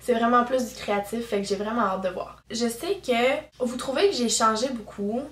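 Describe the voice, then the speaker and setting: Chatty 18-year-old Québécoise vlogger. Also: female voice, on the high side, moderate pace. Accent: Québécois French. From Quebec. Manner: chatty, candid, lots of fillers. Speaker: YouTuber. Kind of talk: casual vlog chat. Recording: roomy echo, camera at a distance.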